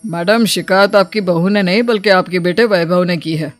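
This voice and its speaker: A woman's voice: on the low side, moderate pace, no room sound, faint music behind, close to an everyday voice. A woman voicing a stern male police inspector in a cartoon story, speaking firmly and formally. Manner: firm, formal. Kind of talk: dramatised cartoon story dialogue.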